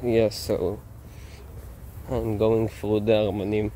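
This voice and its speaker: A man's voice: on the low side, flat delivery, slow pace, dark tone, slight background noise. A man with an Israeli accent vlogging casually while walking outdoors, rambling and slangy, filming on a phone. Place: outdoors on city streets.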